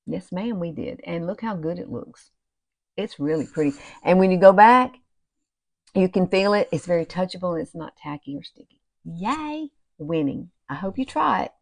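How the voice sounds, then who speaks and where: A woman in her fifties with a Southern US accent and a fairly low voice talks through a casual tutorial. She is chatty and folksy, addressing viewers like girlfriends. The sound is dry and close, recorded in a small room at home. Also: animated, normal speed, gravelly, no echo. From southern United States. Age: 50s. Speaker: beauty vlogger.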